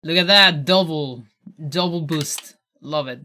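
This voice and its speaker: A man's voice: a little high, a little slow, slight background noise. A man from Chile, a native Spanish speaker with a mixed American-sounding accent, chatting casually and chuckling while he streams a speedrun. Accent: mixed American with Chilean undertone. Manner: relaxed, chuckling, trails off into murmurs. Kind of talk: casual live-stream chat while playing.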